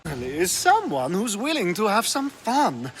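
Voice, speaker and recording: A man's voice: high voice, huge pitch swings, measured pace, a bit bright, slight background noise, exaggerated voice. An actor playing a playful, seductive Greek hedonist man in a video game, with a teasing drawl.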